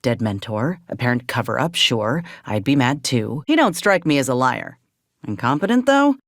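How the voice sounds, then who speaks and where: A woman's voice: a bass voice, big pitch swings, normal speed, dry recording, clean studio sound, full character voice. A woman playing an earnest, loyal frontier soldier in a video game, speaking plainly and with measure. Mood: dry, skeptical. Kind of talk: video game companion dialogue.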